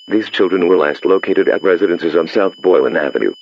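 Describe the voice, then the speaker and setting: A man's voice: deep voice, fairly quick. A man's voice as a stern automated emergency broadcast announcer, reading a formal scripted alert over a lo-fi radio sound. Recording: dull lo-fi radio alert, faint hum.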